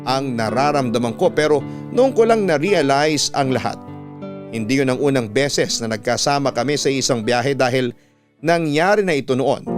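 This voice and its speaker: A man with a medium-pitched voice reads a scripted love story in the first person, as a radio storyteller would. Music plays clearly behind him. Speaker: radio host and story narrator.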